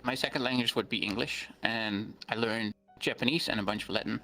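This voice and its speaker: A man whose first language is Dutch speaks in a bright, forward voice. He explains things earnestly and plainly, stopping often for ums. Faint music plays behind him. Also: lowish voice, brisk, low-quality recording. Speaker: guest streamer. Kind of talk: interview conversation.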